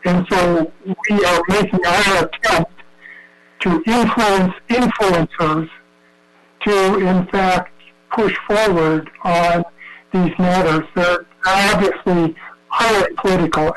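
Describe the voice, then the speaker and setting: A male professor explaining his research in a measured way over a video call. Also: high voice, fairly bright tone, rough recording. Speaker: professor and invited expert.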